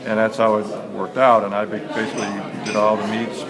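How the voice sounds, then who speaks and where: An older man, a swim coach, giving unhurried, storytelling answers in an interview. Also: fairly low pitch, little pitch movement. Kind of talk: interview answers with long anecdotes. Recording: loud crowd din in large indoor space. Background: loud crowd.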